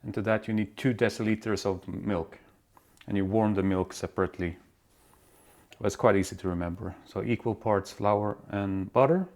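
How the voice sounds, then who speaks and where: A man from Belgium hosting his own cooking show, walking through a recipe in a relaxed, step-by-step way in a home kitchen. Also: fairly low pitch, moderate pace. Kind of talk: casual cooking demonstration.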